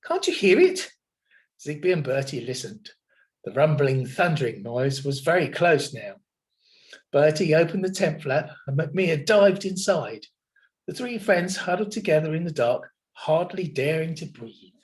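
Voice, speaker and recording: A man narrating a children's storybook in an expressive, dramatic manner. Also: on the high side, a little slow. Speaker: children's story reader at a primary school.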